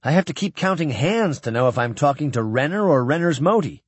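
A man's voice: on the high side, lively intonation, fairly quick, husky, no echo. A man voicing a wry, easygoing young naval midshipman who talks chattily in a science fiction audiobook. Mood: bemused, chatty.

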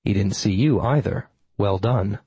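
Guy doing a wry loyal dog that talks, deadpan, audiobook style, clean dry sound. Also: lowish voice, a little slow, husky, dry recording, exaggerated voice. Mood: dry, approving.

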